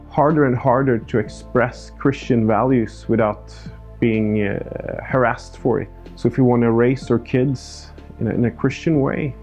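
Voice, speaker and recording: A man's voice: medium pitch, creaky, warm tone. A Swedish man in his thirties with a Swedish accent and native Swedish, a pastor speaking earnestly in a personal documentary interview. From Sweden, countryside. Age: 30s. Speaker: pastor and church planter.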